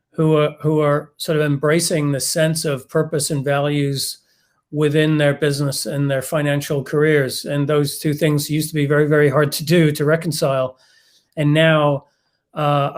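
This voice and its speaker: Male event host, voice on the higher side, conversational and somewhat halting as he introduces and questions guests.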